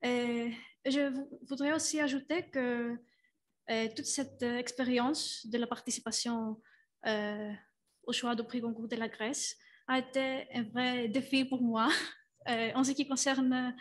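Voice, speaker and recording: Slow, halting female student. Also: on the high side, steady tone. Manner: halting, many filler pauses. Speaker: university student. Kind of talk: hesitant prepared remarks.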